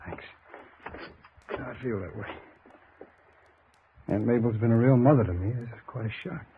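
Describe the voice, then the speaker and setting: A man's voice: medium pitch, moderate pace, slightly rough. A male actor playing a dejected, polite nephew in a 1950s radio drama, earnest and subdued. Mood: shaken. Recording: tinny, noisy vintage radio recording.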